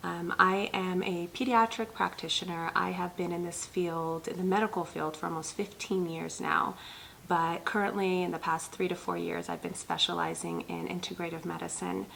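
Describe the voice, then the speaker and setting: A woman's voice: lowish voice, flat delivery, moderate pace, gravelly, fairly bright tone, slight background noise, rough recording. A woman in her thirties from Ethiopia, a pediatric nurse practitioner hosting her own channel, talking earnestly to viewers with lots of ums. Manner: earnest, many ums, speaks directly to viewers. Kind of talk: personal talk to camera.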